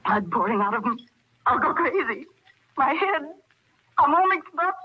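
High-voiced panicked woman on the run. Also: sing-song, normal speed. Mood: panicked, dazed. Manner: breathless fragmented muttering, rising to cries. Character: panicked, confused woman murderer fleeing in darkness. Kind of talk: 1940s radio horror acting. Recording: muffled, very noisy old radio recording.

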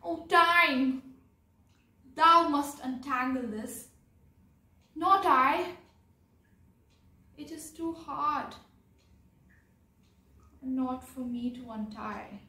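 A young woman acting a bewildered, lovestruck heroine disguised as a man in a Shakespearean monologue, theatrical, with long pauses. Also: sits high, bright, forceful tone, exaggerated voice. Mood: anxious, despairing. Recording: echoey room, distant microphone.

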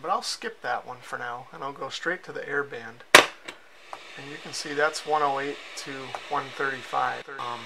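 A man giving a casual, low-key hands-on radio demonstration, musing aloud as he goes. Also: creaky, sharp tone, poor audio. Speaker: radio reviewer. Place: concrete-walled cellar. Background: shortwave radio noise.

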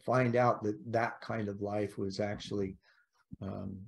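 A man's voice: fairly low pitch, level tone, unhurried, vocal fry. A middle-aged man hosting a podcast, asking long rambling questions over a video call. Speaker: podcast host. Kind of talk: casual interview chat.

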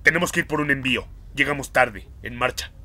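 A man's voice: medium pitch, a little fast, sharp tone. A man with a Latin American Spanish accent playing a curt, businesslike crime boss in a video game fan dub. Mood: commanding. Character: curt, commanding man running a criminal delivery.

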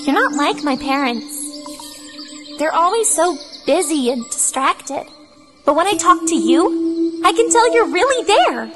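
Woman playing a very high-pitched, cheerful young child. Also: big pitch swings, a little slow, heavily acted. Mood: happy, confiding. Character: cheerful young child fondly doting on toy puppy. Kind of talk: audio drama acting. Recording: music and birdsong behind the voice.

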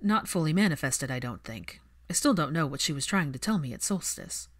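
A woman voicing a reserved, analytical man in a story reading, measured and understated. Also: low voice, lively intonation. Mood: thoughtful.

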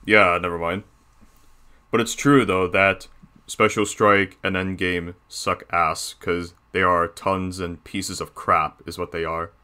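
A man's voice: deep voice, level tone, slow, sharp tone, clear, resonant tone, heavily acted. A man voicing a crude, bossy animatronic bear boss who gives casual reaction commentary. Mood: smug, dismissive.

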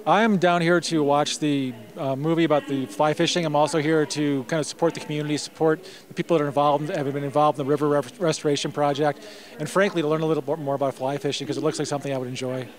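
A man in his forties with a slightly high voice talks quickly and playfully. He speaks into a held mic in a theater lobby. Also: steady tone. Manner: playful, mock-vain. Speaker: interviewee.

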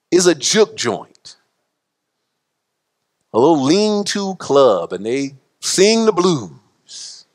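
A middle-aged man preaching a sermon from a church pulpit, impassioned and full of repetition, his pitch and loudness swinging widely. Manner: gestures broadly while preaching at a pulpit. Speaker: pastor. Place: church sanctuary.

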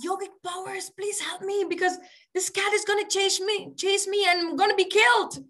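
A woman's voice: extremely high pitch, fairly even intonation, moderate pace, a bit bright, voice pushed up. A woman voicing a small, fearful male animal in a told story, pleading and panicky. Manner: pleading, panicky, repeats pleas. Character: small fearful male mouse turned cat, then tiger.

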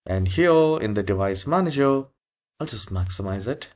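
A man with a fairly low voice narrates a software tutorial. He gives instructions one step at a time and goes back over key steps as he guides the listener. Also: lively intonation, normal speed. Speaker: tutorial host.

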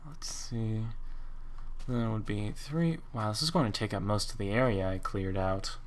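A man's voice: lowish voice, normal speed, slight background noise. A man casually commenting on his game as he plays, thinking aloud and counting under his breath. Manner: thinks aloud, counts blocks, rambling asides. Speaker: Minecraft let's play host.

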